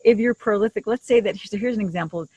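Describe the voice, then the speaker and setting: A woman's voice: mid-range voice, fast, darkish tone, breathy edge, dry recording. A middle-aged woman co-hosting a podcast, explaining things in a casual, conversational style into a shared desktop microphone. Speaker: podcast co-host. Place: outdoors in a leafy garden. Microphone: desktop condenser mic shared between two.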